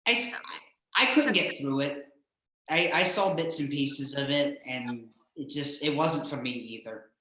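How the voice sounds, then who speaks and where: Heavy room echo surrounds a man hosting a casual interview. His voice has a bright edge, and he speaks agreeably in halting bursts, repeating words as he forms questions. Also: fairly high pitch. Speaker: host, interviewer. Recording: very echoey, reverberant room.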